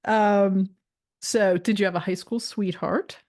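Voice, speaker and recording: A woman's voice: mid-range voice, fairly even intonation, takes it slowly, a little mellow. A woman hosting a podcast, asking questions in a casual interview style. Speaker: podcast host. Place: podcast studio.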